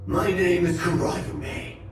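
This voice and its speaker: A man's voice: high voice, fairly even intonation, takes it slowly. A man voicing a sinister nightmare monster in a video game, smug, formal and theatrically grandiose. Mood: smug, menacing. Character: sinister, theatrical nightmare yokai villain, last of his kind. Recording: heavy echo, distant, loud music behind.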